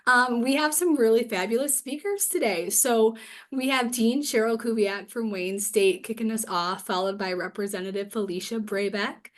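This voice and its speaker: A young woman with a raspy voice from a cold, hosting an online forum with chatty, upbeat talk and many fillers. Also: fairly high pitch, normal speed. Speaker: host. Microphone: video call.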